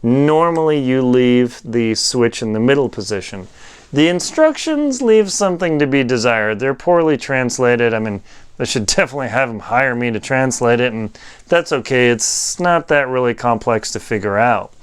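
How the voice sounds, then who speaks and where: A man giving a casual, unscripted product review, talking in a halting way as he thinks aloud while demonstrating. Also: medium pitch, expressive, clean tone.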